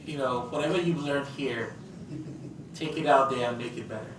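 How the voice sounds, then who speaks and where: A man's voice: mid-range voice, normal speed, distant mic, noisy background. A man moderating a community event, wrapping up casually with lots of "you know" and encouragement. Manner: casual, filler-heavy, encouraging. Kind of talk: closing remarks at a community event. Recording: echoey room recorded from afar.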